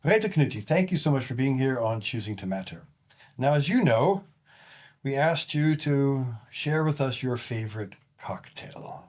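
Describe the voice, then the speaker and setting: A man hosting an interview podcast, asking questions in a relaxed way and often affirming his guest with short replies. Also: medium pitch, moderate pace. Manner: warm interjections, frequent backchannel affirmations. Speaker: podcast host. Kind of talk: casual interview, scripted intro.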